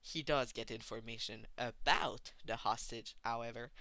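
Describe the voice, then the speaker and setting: Bright, dry-sounding story narrator. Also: medium pitch, steady tone, normal speed, no room sound. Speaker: fan fiction narrator. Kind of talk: expressive story reading.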